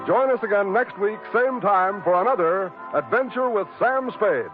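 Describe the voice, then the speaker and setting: A narrow, tinny old radio broadcast with light music behind. A male announcer with a fairly high voice delivers scripted network announcements in 1950s style. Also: animated, moderate pace.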